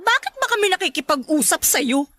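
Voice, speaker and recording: High, brisk Filipina friend. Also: female voice, very animated, sharp tone, heavily acted. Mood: dismissive. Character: brisk, cheerful, protective young woman friend. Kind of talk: Filipino radio drama acting.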